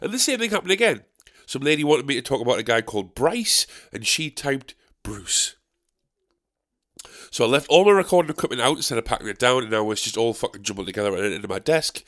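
A man with a deep, nasal, gravelly voice, stuffed up from a cold. He rambles through a solo podcast, swearing freely and laughing at his own mistakes. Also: animated, quick pace, a bit bright. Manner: sweary, rambling, self-mocking. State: recovering from a cold. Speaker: podcast host. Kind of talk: casual solo podcast chat. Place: dark bedroom at a desk. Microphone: desk microphone, badly set up.

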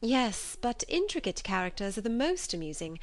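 A woman's voice: fairly high pitch, big pitch swings, normal speed, voice pushed up. A woman voicing a witty, self-possessed and sensible young woman in an audiobook. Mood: teasing. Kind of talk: audiobook character acting.

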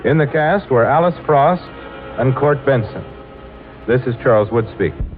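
A man's voice: medium pitch, animated, normal speed. A man doing dramatic, declarative old-fashioned radio announcing on a dull vintage recording. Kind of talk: 1940s-style radio announcing. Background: music.

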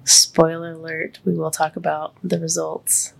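An American woman hosting a podcast in casual, candid chat, with a congested voice that sits deeper than her usual. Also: completely flat delivery, unhurried, creaky, rough recording. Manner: candid, conversational. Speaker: podcast host.

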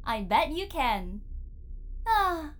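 High-pitched, swooping, cheeky AI. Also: female voice, big pitch swings, very slow, faint music behind, full character voice. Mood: teasing, familiar. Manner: playful, self-satisfied, bossy, showy. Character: cheeky, theatrical female AI therapist in a robot body. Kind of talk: science fiction audio drama acting.

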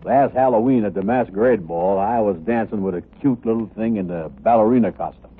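An actor playing a gullible, grumbling sidekick with broad dialect delivery in a 1950s radio comedy. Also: male voice, fairly low pitch, brisk, husky. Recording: tinny, faintly noisy old radio recording.

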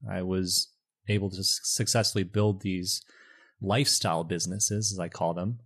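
A man's voice: low-pitched, vocal fry. A man in his thirties, born in China and raised in Michigan, telling his story in an upbeat, reflective way. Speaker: recent MBA graduate guest. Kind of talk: casual interview answers.

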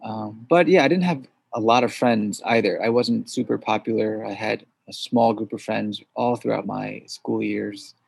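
A man from suburban New York, a podcast guest who answers thoughtfully with hesitant pauses. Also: fairly low pitch, vocal fry, slightly rough, no room sound. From suburbs of New York City. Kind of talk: interview conversation.

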